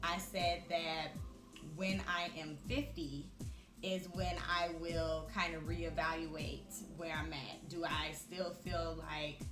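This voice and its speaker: A woman in her mid-thirties speaks on a vlog in a reflective, chatty way, with fillers scattered through her thoughts. Her voice sits a little low for a woman. The room rings with echo, and music plays behind her. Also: level tone, takes it slowly. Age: 30s. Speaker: vlogger. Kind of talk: casual talk to camera.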